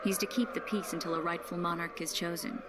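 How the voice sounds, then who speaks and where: A woman's voice: on the low side, fairly even intonation, heavy background noise, lightly acted. Woman playing a composed, shrewd courtly woman. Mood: explanatory. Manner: measured, wry, expository. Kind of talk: video game cutscene acting.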